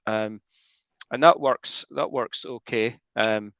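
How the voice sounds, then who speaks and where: A man's voice: mid-range voice, takes it slowly, creaky, a bit bright, no echo. Chatty Scottish man on a video call. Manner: chatty, restarts often, explains at length. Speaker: guest radio amateur. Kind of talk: casual video call chat. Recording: dull, dry video call audio.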